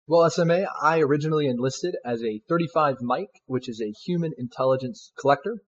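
A man's voice: a little high, a little fast, slight background noise. A man in his twenties, a soldier guest answering interview questions in an earnest, polite way. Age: 20s. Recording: dull lo-fi sound.

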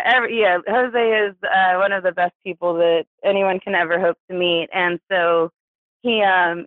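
Through a tinny, phone-like radio sound with very little room to it, an American guest in their thirties talks candidly. The voice is mid-pitched, and the delivery is hesitant, personal storytelling. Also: dry recording. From New Mexico, United States. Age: 30s. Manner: hesitant, chuckles through hard subjects. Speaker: interview guest, poet.

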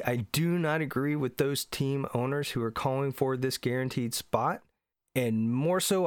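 A man's voice: medium pitch, no room sound, studio quality. A middle-aged man from Indiana, congested from allergies, hosting a solo podcast in an earnest, emphatic way. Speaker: podcast host.

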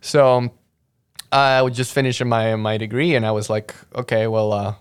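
A man's voice: medium pitch, fairly even intonation, moderate pace, smooth. A young man from Mexico, a guest in a casual interview, telling his story in a rambling way full of fillers and restarts. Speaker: guest, audio engineer.

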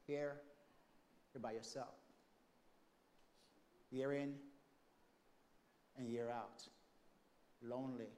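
A man with a Jamaican accent preaching a sermon, moving between loud, emphatic declarations and soft, hushed storytelling. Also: medium pitch, steady tone, very slow, long pauses. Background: congregation calling out amens.

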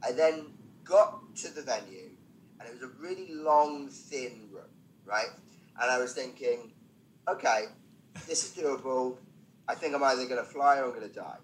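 A male stand-up comedian chats as a podcast guest, spinning anecdotes in a self-deprecating way. His voice sits at an average pitch for a man, and he drops in wry asides as he goes. Some background noise runs under the recording. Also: moderate pace, poor audio. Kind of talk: conversational comic anecdote telling.

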